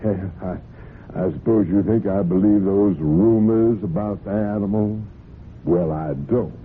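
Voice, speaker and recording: A man's voice: fairly low pitch, a little slow, natural voice. An actor playing a genial, pushy horseman, folksy and insistent, in an old-time radio drama. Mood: genial, coaxing. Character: persistent, cocksure horseman, genial but pushy buyer. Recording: muffled, noisy old radio broadcast.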